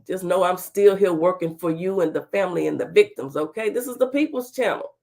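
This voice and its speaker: A woman's voice: lowish voice, a little fast, creaky, mellow. Stuffy-voiced woman hosting a legal talk show. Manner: chatty, sassy asides between formal reading. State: stuffy, under the weather. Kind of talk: reading court documents aloud with commentary. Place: home studio with virtual background.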